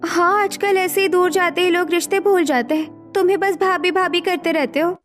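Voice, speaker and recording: A woman's voice: very high-pitched, fairly even intonation, normal speed, soft background music. A woman voicing an insistent, demanding young city wife in a dramatized Hindi story. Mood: dismissive.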